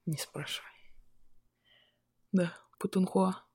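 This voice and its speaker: A woman's voice: on the low side, fairly even intonation, slow pace, warm tone, husky, no room sound, clean studio sound. A young woman from Buryatia talking casually and ironically in a chat, often laughing and swearing offhand. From Buryatia, Russia. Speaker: guest.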